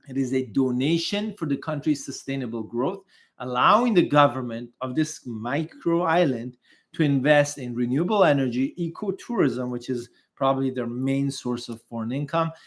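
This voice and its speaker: A man's voice: fairly high pitch, fairly bright tone. A man presenting for his firm in a conversational, salesy explainer, with chatty asides, small laughs and stumbles over foreign names. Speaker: investment migration firm presenter. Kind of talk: promotional explainer monologue.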